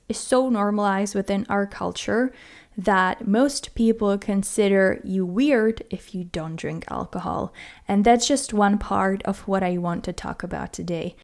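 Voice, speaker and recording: A woman's voice: medium pitch, a little mellow. A young woman from the Republic, hosting her own podcast in an earnest, carefully worded, reflective monologue. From Czech Republic. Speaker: podcast host. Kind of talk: scripted solo podcast monologue.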